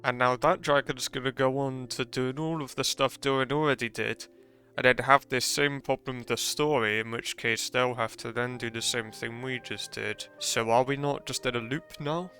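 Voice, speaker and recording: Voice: medium pitch, steady tone, moderate pace, bright, forceful tone, faint music behind. A streamer giving casual, reactive commentary while playing a video game.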